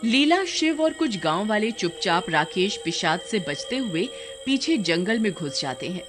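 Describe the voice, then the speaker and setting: A woman's voice: medium pitch, sing-song, measured pace. A woman narrating a horror-comedy story dramatically from a script. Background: background music.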